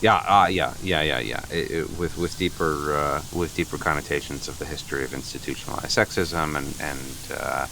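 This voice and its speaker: A very low, bright-edged male voice as a nervous boyfriend in a comedy radio play. He is earnest and apologetic, stumbling over his words and restarting in a fluster. Food sizzles faintly behind him. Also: steady tone, vocal fry, a little hiss or hum, exaggerated voice. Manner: halting, stammering, flustered. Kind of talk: improvised comedy radio play, naturalistic dialogue.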